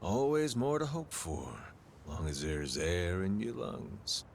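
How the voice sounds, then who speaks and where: An actor playing a spirited aging gangster turned pirate captain in a video game, with slangy, folksy, drawling talk. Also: male voice, deep voice, very animated, takes it slowly, gravelly, a little hiss or hum, full character voice. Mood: reflective. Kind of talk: video game cutscene acting.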